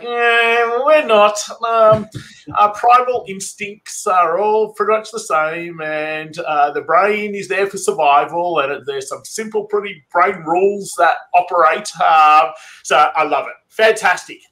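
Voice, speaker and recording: Male podcast host from Australia, eager and chatty, crisp bright tone. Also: sits high, measured pace, poor audio. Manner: enthusiastic, conversational with fillers. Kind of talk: podcast interview.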